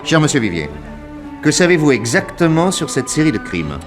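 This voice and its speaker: An animated male voice as a courteous detective in an old radio serial. He sounds affable and curious, with music playing behind him. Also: on the high side, moderate pace. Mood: intrigued. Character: genial, inquisitive American detective visiting Paris. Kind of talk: 1960s French radio drama acting.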